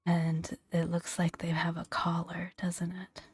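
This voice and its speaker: A woman doing soft-spoken ASMR game commentary in a breathy, gentle near-whisper, murmuring along without hurry. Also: on the low side, completely flat delivery, measured pace, no echo, soft background music. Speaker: video game content creator.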